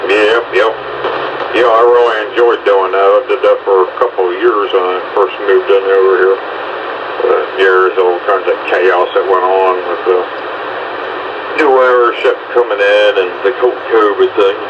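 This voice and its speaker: Low-voiced man on a muffled, noisy transmission. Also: fairly even intonation, moderate pace, distant mic. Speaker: dog rescue volunteer chatting on air. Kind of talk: casual chat.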